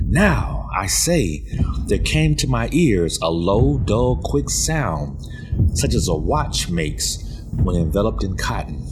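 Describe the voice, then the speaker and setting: A man's voice: lowish voice, a little mellow. A man playing an obsessive, paranoid murderer in dramatic gothic horror narration, theatrical and emphatic, repeating his words. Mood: intense, obsessive. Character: mad, obsessive murderer confessing, paranoid and gleeful.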